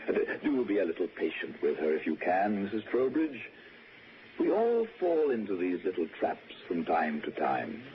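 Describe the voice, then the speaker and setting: An actor playing a gentle, wistful, courteous lord in 1960s radio drama, speaking with hesitant, softly persuasive politeness. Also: male voice, fairly low pitch. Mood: gentle, pleading. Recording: dull, noisy 1960s radio recording.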